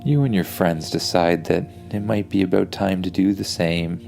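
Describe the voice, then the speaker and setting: A man's voice: low voice, steady tone, moderate pace, creaky, darkish tone, faint music behind. A man telling an improvised bedtime story for kids in an informal, soothing way.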